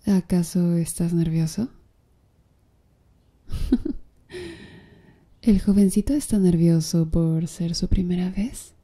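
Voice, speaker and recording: A slow, flirty tattoo artist with a dark, mellow tone, as a woman plays her for an ASMR roleplay. She speaks softly and breathily, teasing her client with easy confidence. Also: on the low side. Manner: soft, breathy, teasing with little laughs.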